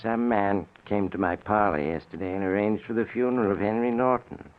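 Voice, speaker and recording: An actor playing a polite, dryly insistent undertaker in an old-time radio mystery. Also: male voice, on the low side, brisk, natural voice. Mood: puzzled, formal. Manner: formal, polite, dry. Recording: tinny vintage radio recording.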